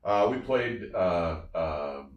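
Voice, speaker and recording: A man's voice: low-pitched, expressive, takes it slowly, lo-fi sound. A man reviewing audio gear in casual, enthusiastic talk, emphatic and effusive, often repeating his phrases. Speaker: audio reviewer and show host. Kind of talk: casual enthusiastic product discussion. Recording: roomy, somewhat distant from the mic.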